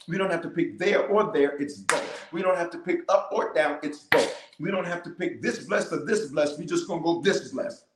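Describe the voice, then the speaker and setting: Fervent preaching bishop in an echoey room. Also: male voice, on the high side, moderate pace, few pauses, noisy background. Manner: fervent, repetitive declarations, calls to the audience. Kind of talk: livestreamed prayer and preaching. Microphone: cameras in front of him.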